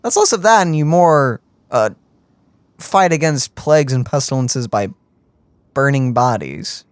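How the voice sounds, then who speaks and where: A man's voice: medium pitch, animated, a little slow, clean tone, no room sound. A man co-hosting a game stream with casual reaction commentary. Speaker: streamer co-host.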